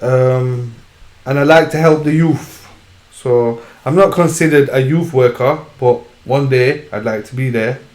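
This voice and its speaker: A man's voice: mid-range voice, a little slow, darkish tone, roomy sound. A man introducing himself in a laid-back, slangy way. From Sheffield, England. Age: 20s. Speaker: rapper, panelist. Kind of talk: casual self-introduction.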